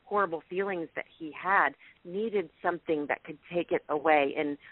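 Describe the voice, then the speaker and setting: A woman's voice: deep voice, moderate pace, no echo. A woman taking part in a telephone conference call, talking in an enthusiastic, emphatic and conversational way from personal experience. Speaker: participant on a business conference call. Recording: narrow, tinny telephone conference line.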